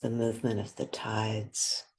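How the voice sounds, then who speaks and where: A woman guides a meditation in a soft, airy voice with a gentle breathiness. She moves very slowly, leaving long pauses between short, calm phrases. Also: extremely low pitch, slightly rough.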